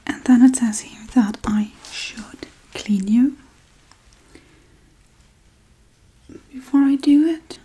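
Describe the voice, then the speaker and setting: A woman playing a scatterbrained, bossy witch in a whispered ASMR role-play, with breathy, casual, deadpan delivery. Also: high-pitched, measured pace, full character voice, rough recording. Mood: matter-of-fact. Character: scatterbrained, bossy Halloween witch tending her captive.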